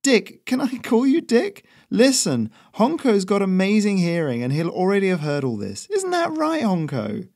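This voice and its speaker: A man's voice: high voice, huge pitch swings, normal speed, gravelly, hardly any pauses, darkish tone, pitched above the performer's own voice, full character voice. A man voicing a breezy, cocky postman who rides a goose, talking in a chummy, teasing, upbeat way.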